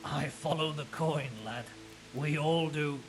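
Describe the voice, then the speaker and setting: An actor playing a resigned, cynical captured mercenary in a video game drama, wry and matter-of-fact, with a gravelly voice. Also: male voice, on the high side, fairly even intonation, slow pace, faint music behind. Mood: cynical, frank.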